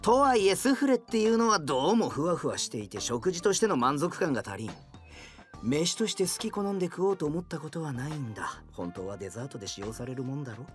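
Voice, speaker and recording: An actor playing an arrogant, grudging young hero and gourmet in an anime audio drama, with a pompous, blustering, rough way of speaking. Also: male voice, a little high, very animated, quick pace, faint music behind. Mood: haughty.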